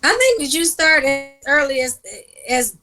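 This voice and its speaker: A woman's voice: high voice, sing-song, moderate pace, pushed and bright, faint music behind. A woman co-hosting a casual chat, speaking bluntly and frankly in slangy everyday speech. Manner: quotes others in put-on voices.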